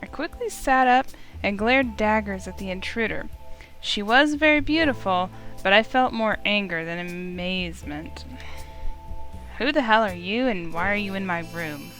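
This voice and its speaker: A woman's voice: medium pitch, expressive, normal speed, fairly bright tone, soft background music, close to an everyday voice. A woman playing an indignant young human heroine who narrates a visual novel in the first person and voices her own defiant lines. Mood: startled, indignant. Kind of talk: first-person story narration with acted dialogue.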